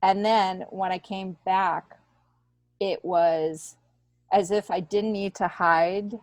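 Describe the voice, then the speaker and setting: A woman in her fifties talks casually as an interview guest, telling her stories with emphasis and animation. Her voice sits at an ordinary middle pitch, and the sound is dry with no room around it. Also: steady tone, slow, a little mellow, dry recording. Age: 50s. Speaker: podcast guest, comedian and writer.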